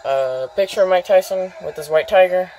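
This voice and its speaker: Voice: medium pitch, noisy background. Casual off-screen tour guide with many ums. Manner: unhurried, lists items, many ums and uhs. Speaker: vlogger giving a home tour. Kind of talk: casual walkthrough narration. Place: indoor room full of posters and memorabilia.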